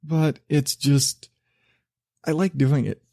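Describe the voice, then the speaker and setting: A man hosting his own podcast, talking in a loose, rambling, self-deprecating way with a relatively low voice, laughing and swearing casually. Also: steady tone, takes it slowly, creaky, warm tone, no echo, clean studio sound. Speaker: podcast host.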